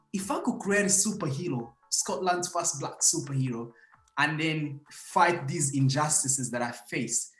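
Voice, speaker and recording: A man's voice: medium pitch, echoey room. A young man with a Congolese accent, talking earnestly as a guest about his creative work. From Democratic Republic of Congo. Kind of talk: casual interview.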